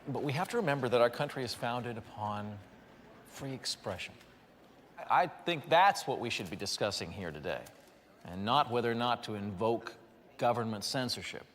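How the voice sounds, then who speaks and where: A man playing an earnest American senator in a game, giving a formal, deliberate and diplomatic public statement. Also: medium pitch, lively intonation, a bit bright, natural voice. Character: earnest, measured male politician making public statements. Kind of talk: public address in a game cutscene. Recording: reverberant hall, faint noise.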